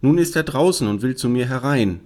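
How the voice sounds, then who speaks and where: A man's voice: medium pitch, lively intonation, a little fast, darkish tone, voice pushed up, close to an everyday voice. A man voicing a young, spoiled princess in a fairy tale, with a childlike, plaintive and eager manner. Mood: anxious. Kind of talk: fairy-tale storybook character reading.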